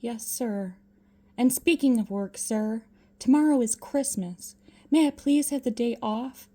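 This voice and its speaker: A woman's voice: a little high, unhurried, warm tone, pitched above the performer's own voice. A woman voicing a meek, polite male clerk, timid and deferential, in a storybook reading.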